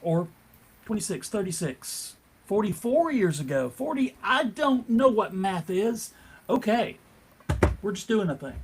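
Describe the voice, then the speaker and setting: A man co-hosting a panel in casual chat, with a halting, self-deprecating way of talking. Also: high-pitched, sing-song, slightly rough. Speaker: co-host, convention track director.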